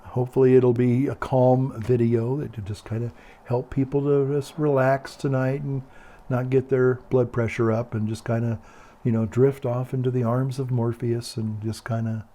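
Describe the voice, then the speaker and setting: An older man with a calm, quiet voice, chatting casually in a meandering, hesitant way and often repeating himself. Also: mid-range voice, moderate pace. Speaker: video blogger. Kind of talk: casual rambling monologue.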